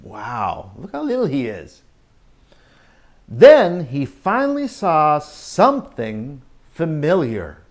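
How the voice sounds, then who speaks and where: A man reading a picture book aloud to young children as their teacher, expressive, drawing out story lines and adding exclamations and questions for the listeners. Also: a little high, slow. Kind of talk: animated read-aloud storytelling with asides to children.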